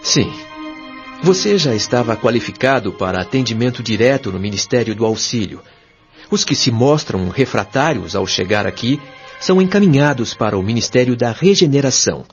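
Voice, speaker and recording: A male actor plays a patient Brazilian spirit guide in a radio-style drama, teaching with solemn authority. His mid-pitched delivery moves expressively and every phrase is carefully articulated. The sound is slightly dull and lo-fi, with music underneath. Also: lively intonation, normal speed, lightly acted. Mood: calm, authoritative. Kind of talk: audio drama acting, expository dialogue. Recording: dull lo-fi audio over music.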